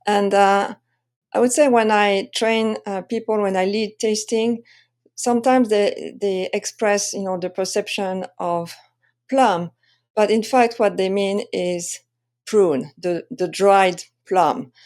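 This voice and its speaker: A woman with a French accent presenting an explanatory lesson as a wine tasting coach, speaking haltingly with frequent pauses. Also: mid-range voice, a little mellow. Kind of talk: presentation lesson to camera or slides.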